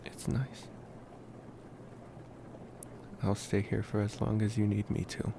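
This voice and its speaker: A man playing a devoted young college vampire in an intimate ASMR roleplay, speaking in a hushed, halting, tender way. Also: lowish voice. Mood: tender, soothing. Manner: hushed, halting, soothing, affectionate. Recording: clear steady background ambient noise.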